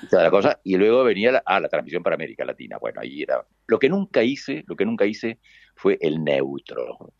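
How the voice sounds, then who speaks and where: Deep gravelly older Argentine man. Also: sing-song, fairly quick, dry recording, dull audio. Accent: Argentine Spanish. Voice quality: deep booming voice. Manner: warm reminiscing, acts out quoted voices. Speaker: radio announcer. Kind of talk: conversational storytelling.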